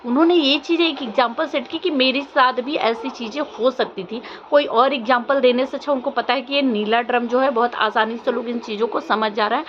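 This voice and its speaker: A woman's voice: fairly high pitch, fairly even intonation, brisk, hardly any pauses, fairly bright tone. A young woman gives casual opinion commentary to viewers, insistent and questioning, often repeating her phrases. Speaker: vlogger. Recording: muffled lo-fi audio, faint background noise.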